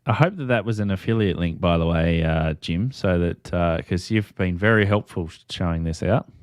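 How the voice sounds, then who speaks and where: A man's voice: low-pitched, normal speed, clear, resonant tone, no echo, clean studio sound. A man hosting a casual hobby livestream, chatting informally and thinking aloud as he goes. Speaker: livestream host. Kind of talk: casual live-stream chat about ham radio.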